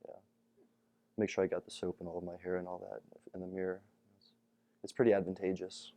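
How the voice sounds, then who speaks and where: Young man, deep voice, relaxed tone, casual interview, dry recording. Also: quick pace, no echo. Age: young adult. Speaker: college basketball player.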